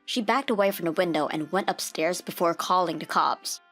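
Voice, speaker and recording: A woman narrator with a fairly low voice tells a scripted comic-dub story. Light music plays underneath her. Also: normal speed, a bit bright.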